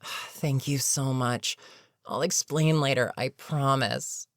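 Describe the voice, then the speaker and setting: Creaky, slow-talking weary villain played by a voice actor. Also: mid-range voice, steady tone, dry recording, clean studio sound. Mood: exasperated, wry. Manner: sighing, deliberate, wry. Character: older, experienced villain, weary, dryly sarcastic, reluctantly protective. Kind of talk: intimate audio roleplay monologue.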